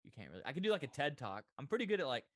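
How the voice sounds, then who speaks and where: A man's voice: mid-range voice, sing-song, very fast, no echo, studio quality. Teasing, incredulous man on a podcast. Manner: incredulous, teasing, swears freely. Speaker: podcast co-host answering questions. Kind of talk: casual crude banter.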